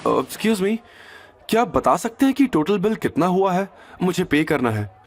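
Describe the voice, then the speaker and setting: A man's voice: high voice, big pitch swings, unhurried, voice pushed up, heavily acted. A man voicing a young woman in an audio drama, polite and secretive but firm. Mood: polite, discreet. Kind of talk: audio drama character dialogue.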